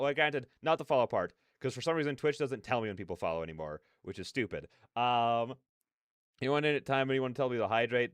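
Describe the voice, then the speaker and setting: A man hosting a casual reaction livestream, rambling in chatty, slangy speech with an animated, self-deprecating manner. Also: medium pitch, clear, resonant tone, no room sound, studio quality. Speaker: livestream host.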